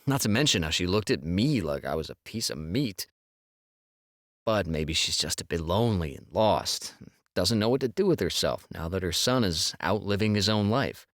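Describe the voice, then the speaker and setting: Actor as a low-voiced, sensitive con-man husband. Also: animated, moderate pace, fairly bright tone, no room sound, studio quality. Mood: sympathetic. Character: easygoing, sensitive husband, a con crew's honey trap. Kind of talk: full-cast audiobook dialogue acting.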